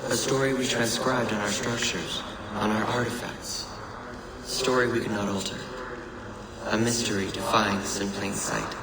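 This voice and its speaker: Solemn, echoing otherworldly messenger. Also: male voice, on the low side, fairly even intonation, vocal fry. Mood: solemn, cryptic. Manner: measured, cryptic, portentous. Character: solemn otherworldly messenger of a lost ancient civilization. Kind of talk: video game monologue. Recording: echoing chamber with loud ambient noise.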